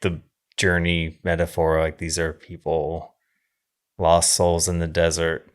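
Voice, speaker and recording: Man, low voice, podcast co-host, casual film discussion, thoughtful, halting. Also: monotone, smooth.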